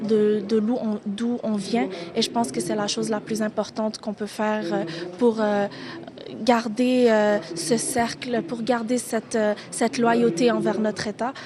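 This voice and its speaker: A woman's voice: on the high side, flat delivery, normal speed. A woman from Canada giving a spontaneous interview at an event, hesitant and searching for words. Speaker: event participant being interviewed. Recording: crowd chatter behind the voice.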